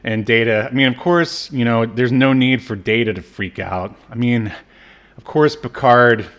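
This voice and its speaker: A man co-hosting a podcast, casually recapping a TV episode in a halting, informal way. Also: mid-range voice, fairly bright tone. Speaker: podcast co-host.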